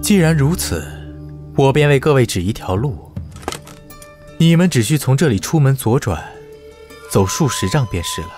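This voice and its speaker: A man acting a wry, expressive household steward. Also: mid-range voice, moderate pace, long pauses, soft background music, lightly acted. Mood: polite refusal. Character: shrewd, wry palace steward of ancient Central Asia. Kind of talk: Chinese costume audio drama acting.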